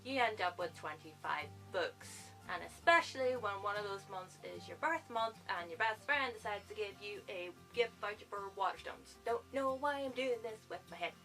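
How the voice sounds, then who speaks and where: A young woman, chatting to camera as a book vlogger, rambling and excitable and laughing at herself. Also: medium pitch, moderate pace, hardly any pauses, faint music behind, rough recording. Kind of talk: casual chat to camera.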